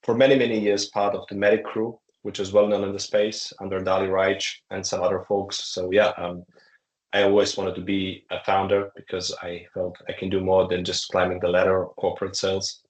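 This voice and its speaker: A man, a startup founder, answering interview questions in a halting way, with many fillers and restarts. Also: low voice, level tone. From Croatia. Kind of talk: conversational interview. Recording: echoey room.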